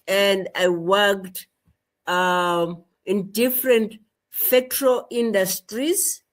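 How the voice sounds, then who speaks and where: Middle-aged woman guest, unhurried, measured delivery, often restates herself. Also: medium pitch. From rural Zimbabwe. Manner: slow, measured, repeats phrases. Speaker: guest activist. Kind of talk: interview storytelling.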